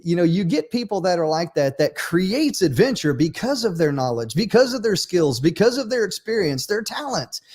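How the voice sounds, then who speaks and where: A man hosts a motivational livestream, his voice swinging lively through its pitch. He talks earnestly and emphatically, circling back to repeat his key points. It sits a little higher than most men's voices. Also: husky. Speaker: host.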